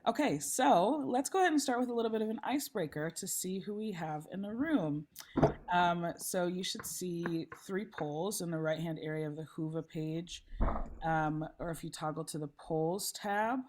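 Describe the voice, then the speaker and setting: A woman facilitating an online meeting, a little hoarse, friendly and chatty with frequent ums, speaking through a laptop mic on a video call. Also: lowish voice, moderate pace, darkish tone. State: slightly hoarse. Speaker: session facilitator. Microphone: laptop mic, then headphones.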